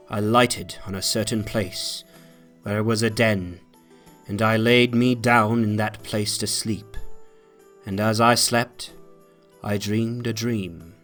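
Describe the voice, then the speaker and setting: A man giving a solemn, deliberate dramatic reading of old literary prose as narrator. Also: lowish voice, fairly even intonation, a bit bright, faint music behind.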